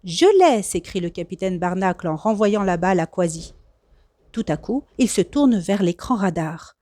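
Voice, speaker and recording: A woman reading a children's storybook aloud as expressive scripted narration. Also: on the low side, moderate pace, no echo. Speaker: storybook reader.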